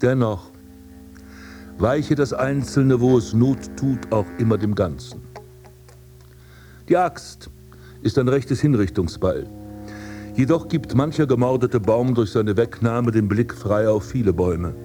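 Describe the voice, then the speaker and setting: A male actor's rendition of a haughty landscape-gardening prince from a literary radio drama. He speaks at an average male pitch in ornate, old-fashioned phrases, full of vanity and scorn. Music plays behind him. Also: a little slow, pauses often, dark tone, close to an everyday voice. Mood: solemn, declaiming. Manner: pompous, declamatory, ornate old-fashioned diction. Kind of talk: literary radio drama acting, monologue and dialogue.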